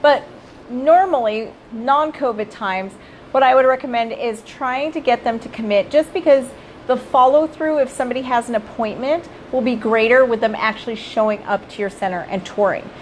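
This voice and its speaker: Woman, middle-aged, hoarse, somewhat high-pitched, chatty, informal live advice talk. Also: normal speed, a little hiss or hum, rough recording. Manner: chatty, addresses viewers as "you guys", often tags "right?". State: hoarse, losing her voice. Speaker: child care center director and coach.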